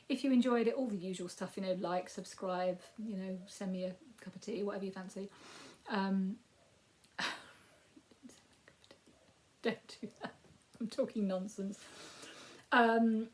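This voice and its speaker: A woman in her fifties with a Yorkshire accent and a croaky voice, giving a rambling, casual crafting update with frequent ums. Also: medium pitch. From Yorkshire, England. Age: 50s. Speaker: crafting podcast host.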